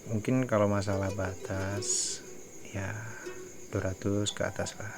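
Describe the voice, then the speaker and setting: Man playing a low-voiced, halting family boss over music. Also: flat delivery. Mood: measured, instructive. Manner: halting, deliberate, pausing between short phrases. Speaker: family leader in online roleplay. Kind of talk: improvised game roleplay meeting.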